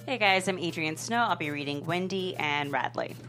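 A woman's voice: lowish voice, normal speed, a bit bright, faint music behind. A woman speaking as an actor in a script table read. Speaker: actor reading script parts.

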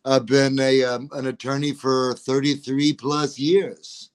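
A man of around sixty, a guest on a podcast, talking in a courteous, measured, slightly formal way. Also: medium pitch, flat delivery, clear, resonant tone. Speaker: guest, attorney and rapper. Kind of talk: casual interview talk.

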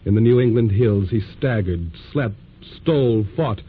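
An actor playing a solemn, urgent storyteller, narrating an old radio drama in a dramatic scripted style. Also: male voice, on the low side, moderate pace, natural voice. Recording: tinny, noisy old radio recording.

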